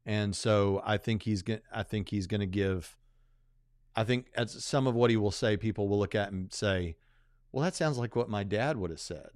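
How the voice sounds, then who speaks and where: Animated male podcast host, dry sound. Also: lowish voice, normal speed, clean tone, no room sound. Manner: animated, repeats words when excited. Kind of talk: casual chat.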